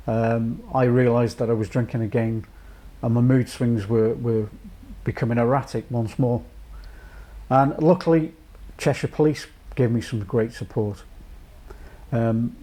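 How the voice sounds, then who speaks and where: A man from North Wales in his late fifties, a charity chief executive giving a measured, reflective personal account with many pauses. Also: medium pitch, warm tone. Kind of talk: personal testimony to camera.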